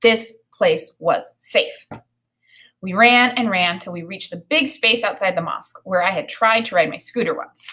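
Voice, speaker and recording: A woman teacher reading a children's story aloud in an expressive, dramatic way, pausing to comment for learners. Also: medium pitch.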